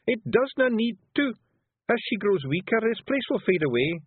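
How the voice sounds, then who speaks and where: A man's voice: high voice, big pitch swings, normal speed, slightly rough, no room sound, voice pushed up, exaggerated voice. A man voicing an anxious young male pictsie warrior with a Scottish accent, earnest and nervous. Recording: tinny phone-like sound.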